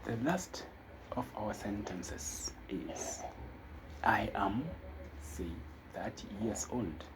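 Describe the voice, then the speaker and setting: A man teaching a casual spoken lesson, talking slowly and thinking aloud with fillers. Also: fairly low pitch, in a whisper, poor audio. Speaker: language teacher.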